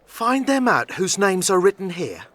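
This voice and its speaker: Comic servant, Shakespearean radio play: confused, talking to himself, lightish pitch, very lively delivery. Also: male voice, a little slow, exaggerated voice. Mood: muddled, perplexed. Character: bewildered illiterate servant, comic, muddled. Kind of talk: comic Shakespearean audio drama acting in prose.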